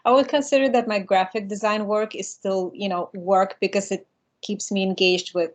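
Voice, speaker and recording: A woman artist guest with a slight Latvian accent, giving brief, soft-spoken answers in a casual interview. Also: medium pitch, steady tone, normal speed, lo-fi sound. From born in Latvia, raised in New York.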